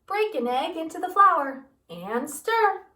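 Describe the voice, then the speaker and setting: A woman voicing a calm mother giving step-by-step cooking instructions, recorded in a roomy space from a distance. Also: very high-pitched, slow, voice pushed up, heavily acted. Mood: instructing.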